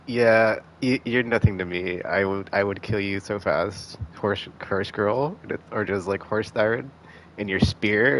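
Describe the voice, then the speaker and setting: A man giving casual live commentary as a game streamer, rambling and halting, often restarting with "like". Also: on the low side, slight background noise. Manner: rambling, halting, heavy use of filler "like".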